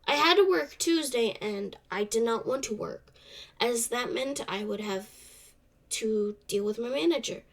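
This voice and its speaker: A young woman hosting a solo podcast, slightly congested from a cold, speaking in a soft, halting way that trails off. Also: a little high. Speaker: podcast host. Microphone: camera off to the side.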